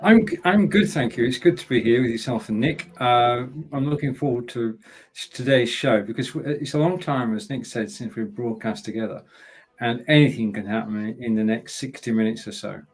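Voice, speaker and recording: An English man, a live broadcaster guesting on a stream, relaxed with stumbling restarts. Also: medium pitch, normal speed, husky. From England.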